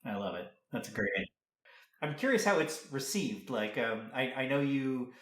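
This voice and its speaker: A man's voice: mid-range voice, sing-song, a little slow. A man hosting a casual interview, encouraging his guest and phrasing his questions in a loose, tentative way. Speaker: podcast interviewer. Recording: roomy, echoey sound.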